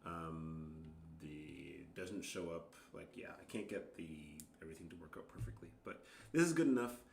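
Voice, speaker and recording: A man chatting casually and self-deprecatingly as an amateur worship musician on a livestream, in a halting, rambling, apologetic way. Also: deep voice, gravelly, a little mellow, slight background noise. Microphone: microphone shared with guitar. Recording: roomy, with guitar music.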